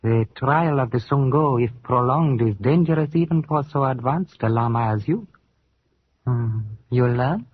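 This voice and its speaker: An actor playing a wise, devoted servant who speaks formally and deferentially in proverbs, in a 1940s radio drama. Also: male voice, mid-range voice, normal speed, breathy edge, lightly acted. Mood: solemn. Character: sage, devoted, formal male Tibetan servant. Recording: very muffled, tinny old radio broadcast.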